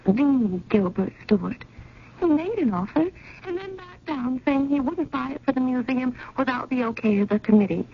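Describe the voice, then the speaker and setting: A woman's voice: high-pitched, expressive, moderate pace. An actress playing an earnest, anxious, genteel woman in a 1970s radio drama, speaking in a refined, fretful way. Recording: muffled old radio, faint noise.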